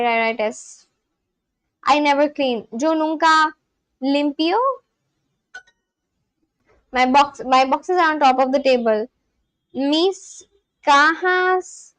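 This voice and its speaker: A woman's voice: high-pitched, fairly bright tone, no echo. A woman livestreamer chatting casually and practising language phrases aloud, in a chatty way. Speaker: livestream host learning Spanish.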